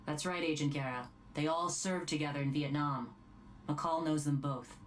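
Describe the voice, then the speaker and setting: A woman's voice: sits low, moderate pace, slight background noise, natural voice. A woman playing an authoritative, formal American task force chief in a video game briefing. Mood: brisk, formal. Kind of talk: video game cutscene acting.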